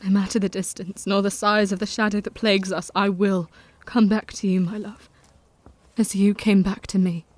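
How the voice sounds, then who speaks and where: A woman's voice: medium pitch, little pitch movement, normal speed, dry recording, natural voice. A woman playing a loving, brave young wife. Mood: tender. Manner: gentle, heartfelt, softly spoken. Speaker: narrator of her own journal. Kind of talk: audio drama acting.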